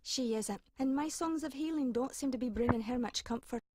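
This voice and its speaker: A woman's voice: high voice, normal speed, darkish tone. A woman playing an earnest, kindly young shepherd leader in a video game, with solemn, formal storytelling diction. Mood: sorrowful.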